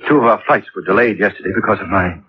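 An actor playing a distraught, apologetic airline manager in a 1950s radio drama, stammering and halting. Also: male voice, lowish voice, background noise, lightly acted. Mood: shaken. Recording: dull old radio broadcast recording.

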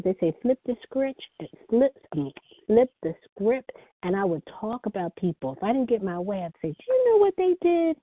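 A soft-spoken woman telling her personal recovery story as the speaker at a phone meeting. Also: fairly high pitch, breathy edge, dry recording. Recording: phone line.

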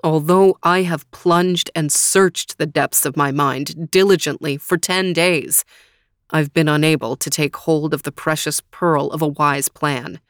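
Calm, measured wise man, as a woman narrator puts him on. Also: low-pitched, no room sound, clean studio sound. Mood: sorrowful. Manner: measured, counseling.

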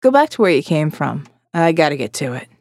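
A woman's voice: low-pitched, big pitch swings, fairly quick, darkish tone, no echo, clean studio sound. A woman playing an anxious, guarded male maintenance worker in audio fiction, terse and ominous. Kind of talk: audio fiction character acting.

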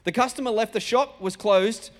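A man's voice: high voice, moderate pace. A man with an Australian accent preaching as a pastor, telling stories in a conversational, colloquial way and acting out dialogue. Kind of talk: sermon with personal storytelling. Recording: reverberant hall sound.

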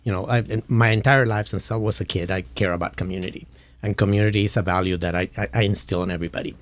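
A man's voice: fairly low pitch, fairly even intonation, fast talker. A man in his forties from Puerto Rico, a nonprofit officer, speaking earnestly in a casual interview and often repeating words as he starts his sentences. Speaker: guest, nonprofit development and communications officer.

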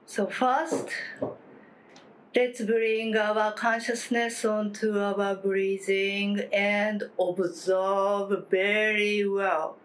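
A woman leading a guided meditation as a teacher, speaking calmly in short phrases with long pauses and gentle instruction. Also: medium pitch, low-quality recording. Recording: clear steady background noise, room ambience.

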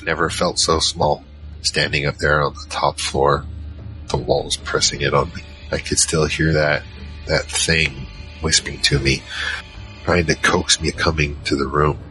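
An actor playing a giant troll, worried and soft-hearted, narrating in the first person in an audio drama. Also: male voice, extremely low pitch, level tone, measured pace, rough recording. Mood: recounting, uneasy. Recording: music behind.

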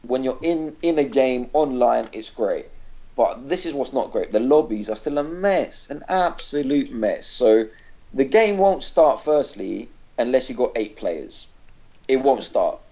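A man's voice: medium pitch, lively intonation, a little slow, dark tone. A man co-hosting a casual gaming podcast, ranting animatedly and swearing freely. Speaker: podcast co-host. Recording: dull lo-fi podcast audio.

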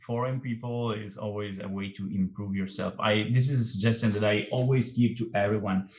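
A man's voice: on the low side, darkish tone. A man, a musician and non-native English speaker, chatting in an interview over a video call with many restarts and fillers. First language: not English. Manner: halting, many restarts and fillers, laughs easily. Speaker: guest musician. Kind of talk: casual interview chat. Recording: roomy echoing sound.

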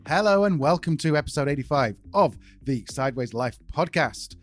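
A man's voice: a little high, lively intonation, moderate pace, music in the background. A man hosting a podcast, chatting casually and joking at his own expense. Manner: chatty, self-deprecating, joking. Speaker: podcast host.

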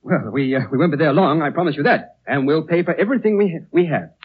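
An agitated young english fella, high voice swinging all over, muffled old radio play. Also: male voice, fast, slightly rough. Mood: impatient, irritated. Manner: excitable, blurting, impatient. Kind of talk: 1930s radio drama acting. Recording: muffled, noisy 1930s radio recording.

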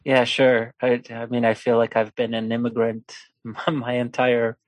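A man in his forties from Poland, a podcast guest telling a personal story in a lively conversational way and acting out the people he quotes. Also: medium pitch, measured pace, dry recording. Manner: animated storyteller who acts out quoted voices.

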